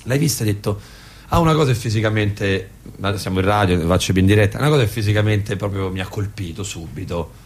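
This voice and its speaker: A man's voice: lowish voice, quick pace. A man hosting a radio show, interviewing playfully off the cuff and echoing the answers he gets. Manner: echoes and repeats the guest's answers. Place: live radio broadcast.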